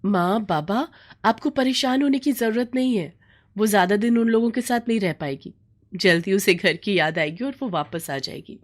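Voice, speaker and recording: A woman voicing a gentle, dutiful young daughter who speaks earnestly in a storybook tale. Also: mid-range voice, animated, moderate pace, voice pushed up, lightly acted. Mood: reassuring.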